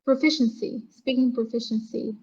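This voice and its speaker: A woman's voice: on the high side, level tone, normal speed, darkish tone, slightly rough. A woman from Serbia with a Serbian accent, chatting casually as an English tutor on an online call. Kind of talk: casual conversational chat. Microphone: online video call. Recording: muffled, lo-fi online call audio.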